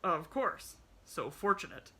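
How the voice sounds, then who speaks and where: A woman's voice: on the low side, moderate pace, sharp tone. A woman voicing a cross, bitter, bedridden man in a storybook, curt and sarcastic. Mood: dry, sarcastic.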